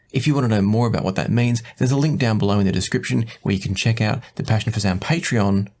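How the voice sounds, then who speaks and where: Male review host, medium pitch, measured and explanatory presenting style. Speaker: host of audio review channel. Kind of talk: presenting a product review to camera.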